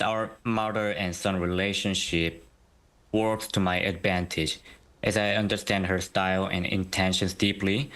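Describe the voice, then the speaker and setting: A young man, a guest translator giving measured interview answers that often begin with "so". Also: low-pitched, a bit bright. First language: Korean. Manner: measured, starts many sentences with "so".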